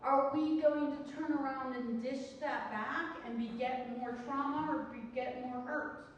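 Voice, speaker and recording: A woman's voice: sits high, steady tone, a little slow, muffled recording. A woman instructor giving a classroom lecture in an emphatic, didactic way, often checking in with rhetorical questions. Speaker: counseling course instructor. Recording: very echoey hall, distant mic, faint noise.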